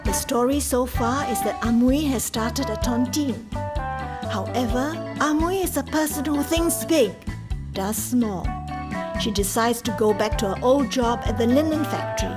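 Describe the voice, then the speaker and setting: Higher-voiced woman narrator over music. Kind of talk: scripted radio drama narration. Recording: loud music behind narration.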